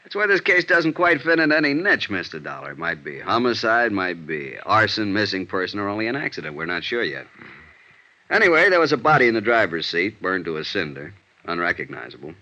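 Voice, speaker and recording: A gruff American detective sergeant in an old radio play. His voice is very low with a hard, bright edge, and he lays out facts in terse deadpan. The recording sounds dull and vintage. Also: fairly quick, full character voice. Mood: matter-of-fact. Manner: terse, deadpan case reporting. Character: gruff, matter-of-fact male police detective sergeant.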